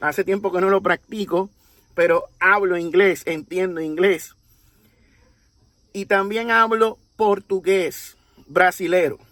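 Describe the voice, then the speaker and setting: A man from Puerto Rico with a Puerto Rican accent, explaining a religious topic in a deliberate, teacherly way, often checking in with his listeners. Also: sits high, bright, forceful tone, no room sound. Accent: Puerto Rican Spanish. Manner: deliberate, teacherly, with rhetorical tags. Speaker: religious commentator. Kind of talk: explanatory monologue.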